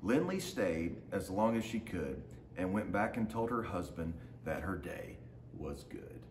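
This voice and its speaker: Low-voiced man reading a storybook, echoey room. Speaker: teacher reading aloud to students. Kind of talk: children's picture-book read-aloud. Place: large open indoor lounge with hard floor. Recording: roomy echo, faint background noise.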